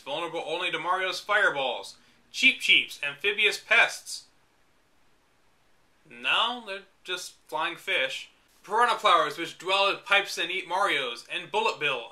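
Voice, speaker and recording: Bright, sardonic man reading aloud. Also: sits high, expressive, unhurried. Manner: wry, exasperated asides. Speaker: reader and commentator. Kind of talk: reading a book aloud with sarcastic commentary.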